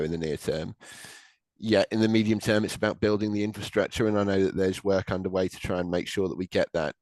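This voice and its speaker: Deep-voiced man on a dry video call. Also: no echo, rough recording. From Wales. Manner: measured, hedging, many fillers and restarts. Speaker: government policy official. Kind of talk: webinar panel discussion.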